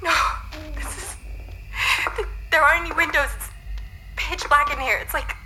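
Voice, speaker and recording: A woman's voice: high voice, moderate pace, bright, forceful tone, rough recording. An actress playing an anxious young woman investigator in an audio drama, speaking in a hesitant, naturalistic way. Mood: panicked. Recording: faint background noise.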